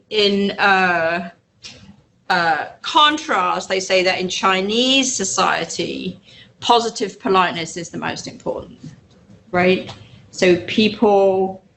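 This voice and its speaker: A woman's voice: medium pitch, a bit bright, a little hiss or hum, poor audio. Chatty woman lecturing a class. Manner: conversational, chatty, explains with many fillers. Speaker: university lecturer.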